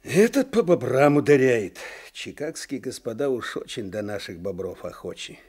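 Husky, sly old clerk. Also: male voice, medium pitch, expressive, moderate pace, a little mellow. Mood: sly, knowing. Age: older. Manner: folksy, wry, chuckling colloquial speech. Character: weary sardonic older male provincial clerk, chatty, servile. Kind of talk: Soviet radio drama acting.

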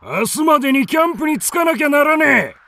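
Nomad raider chief, domineering, coarse, commanding, animated intonation, dry studio sound. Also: male voice, very high voice, quick pace, no room sound, full character voice. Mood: harsh, commanding. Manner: rough, commanding, coarse speech. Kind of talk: radio drama acting.

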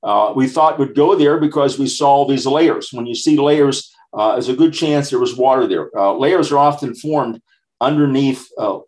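A man in his sixties or older presents an informal slide lecture, explaining things plainly in short, halting phrases. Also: mid-range voice, darkish tone, low-quality recording. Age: 60s or older.

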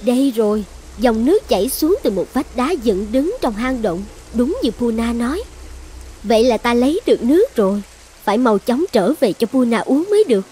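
Woman acting high-voiced proud dragon goddess. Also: expressive, normal speed, dark tone, slight background noise, close to an everyday voice. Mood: searching, puzzled. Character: proud dragon goddess, devoted wife turned vengeful. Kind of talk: dubbed cartoon fairy-tale acting.

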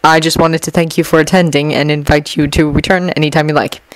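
A woman's voice: sits low, flat delivery, a little fast, no echo, voice pushed down, lightly acted, poor audio. A woman voicing a spooky teenage club president, polite and ominous in manner. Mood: eerie, courteous.

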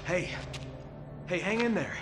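A man playing a gruff, weary soldier in an action game, with an American accent and terse military delivery. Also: high-pitched, animated, unhurried, over music. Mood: urgent. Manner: terse military radio talk. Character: gruff, weary male soldier, tough and duty-bound. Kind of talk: cinematic action game acting. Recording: loud game soundtrack behind.

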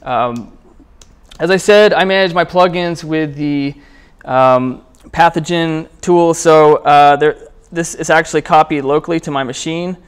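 A man gives an informal technical talk at a meetup. His voice is clean and resonant and sits a little high for a man. He speaks conversationally, with halting phrasing as he explains what he is demonstrating. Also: clean tone. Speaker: presenter at a programming meetup. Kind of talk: casual technical talk with live demo. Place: indoor meetup room, speaking before a small group.

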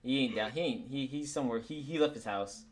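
A man's voice: medium pitch, fast talker. Casual man who repeats himself. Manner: repeats phrases, chuckling, casual swearing. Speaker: roleplay player. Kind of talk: improvised Minecraft roleplay chat.

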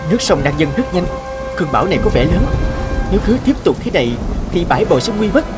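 Fairy-tale cartoon fisherman: young, earnest, kind, highish and very lively. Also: fast talker, over loud music. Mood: worried, deliberating. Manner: expressive, earnest. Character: kind, hardworking young fisherman-farmer, anxious but steady. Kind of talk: animated fairy-tale dubbing.

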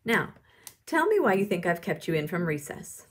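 A woman's voice: lowish voice, very animated, normal speed, breathy edge. A woman reading the part of a firm, encouraging female schoolteacher in a storybook, emphatic and instructive.

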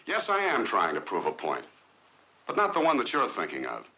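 An actor playing a brooding, determined scientist in a 1950s film drama, with clipped, earnest, sardonic delivery. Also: male voice, lowish voice, huge pitch swings, moderate pace, husky, heavily acted. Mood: defiant, determined. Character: brooding, determined male rocket scientist accused of murder. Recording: muffled 1950s film soundtrack.

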